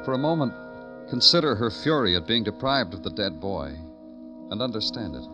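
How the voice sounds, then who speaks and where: A man's voice: on the low side, animated, normal speed, lightly acted. A man acting a brooding, probing police lieutenant who narrates a classic radio crime drama, with clipped delivery. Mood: brooding, intense. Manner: clipped questioning, poetic brooding monologue. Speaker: lead detective and narrator. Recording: old radio drama with music behind.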